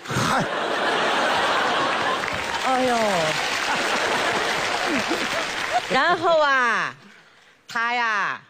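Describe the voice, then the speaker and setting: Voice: mid-range voice, big pitch swings, very slow, over a noisy crowd, very noisy. A performer playing a chatty, doting old village grandmother with a Northeastern Chinese accent in a solo comedy sketch, full of exclamations and jokes. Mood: storytelling. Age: older. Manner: sing-song, full of exclamations, teasing and jokey.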